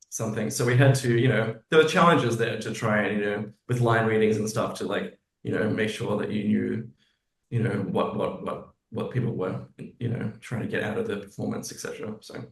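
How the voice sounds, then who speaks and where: Echoey, halting man in conversation. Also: on the low side. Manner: halting, self-interrupting, rambling. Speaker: guest, musical theatre writer. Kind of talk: casual interview talk. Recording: echoey room.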